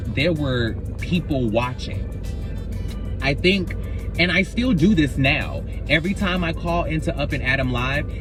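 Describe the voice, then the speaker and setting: Expressive man vlogging over music. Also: mid-range voice. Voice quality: big voice. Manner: expressive, reactionary, rambling with repeats. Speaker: YouTuber. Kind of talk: casual talking-head vlog.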